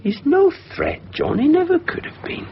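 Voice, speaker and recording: A man's voice: very high-pitched, big pitch swings, moderate pace, gravelly, heavily acted. An actor in a radio drama playing a hesitant, guarded insurance salesman who keeps his feelings private. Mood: guarded, sad. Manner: hesitant, evasive. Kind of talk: 1990s radio drama acting. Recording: muffled, noisy radio drama recording.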